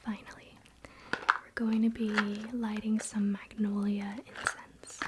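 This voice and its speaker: A young woman whispering in a breathy, gentle, casual way as she hosts a spiritual ASMR ritual. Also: medium pitch, level tone, takes it slowly. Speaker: ASMR host. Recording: faint background noise, soft clicks.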